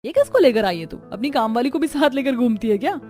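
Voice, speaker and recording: A woman's voice: high-pitched, animated, quick pace, darkish tone. Woman as brash young girlfriend, gossipy, blunt, cartoon drama, music behind. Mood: blunt, chummy. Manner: blunt, familiar. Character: brash, gossipy young female designer friend.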